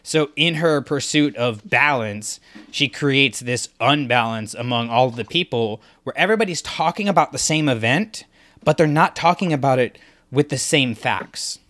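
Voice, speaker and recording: A man's voice: medium pitch, animated, normal speed, fairly bright tone. A man co-hosting a podcast, talking through a fan analysis in an emphatic way and acting out imagined reactions. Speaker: podcast co-host.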